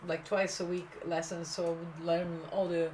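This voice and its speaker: A woman from Brazil co-hosting a podcast, chatting casually, animated, laughing and cursing freely. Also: fairly low pitch, moderate pace, a little hiss or hum. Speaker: podcast co-host. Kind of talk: casual chat.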